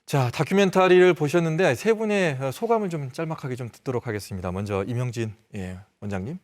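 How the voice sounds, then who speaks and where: A man hosting a regional TV panel discussion, introducing guests and handing them the floor in a polished, brisk manner. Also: mid-range voice, lively intonation. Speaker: host, moderator. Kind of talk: broadcast panel moderation.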